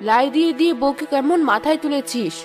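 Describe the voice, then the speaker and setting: A woman's voice: high voice, quick pace, soft background music, natural voice. A woman voicing a stern, disapproving older mother-in-law in a cartoon, scolding and scornful. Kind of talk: Bengali cartoon voice acting.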